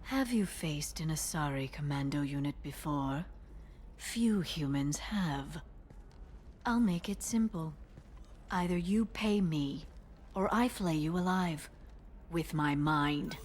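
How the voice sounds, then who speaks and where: A woman playing a guarded, earnest alien information broker in a video game, speaking in a measured way. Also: sits low, a little mellow, a little hiss or hum. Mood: cold threat. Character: guarded alien female information broker, earnest, quietly menacing when crossed. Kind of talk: video game dialogue acting.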